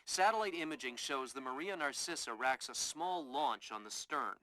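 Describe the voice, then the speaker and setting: Bright-voiced mission coordinator. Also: male voice, medium pitch, expressive, normal speed, dry recording. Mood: businesslike. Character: matter-of-fact male mission operations coordinator. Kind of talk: video game mission briefing.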